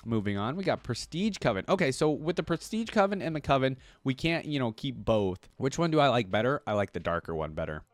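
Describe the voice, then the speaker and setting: A man's voice: mid-range voice, very animated, brisk, hardly any pauses, dry recording. A young man hosting a reaction video, talking casually and upbeat to his viewers with plenty of slang. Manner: chatty, upbeat, addresses viewers as guys; casual slang, repeats freaking.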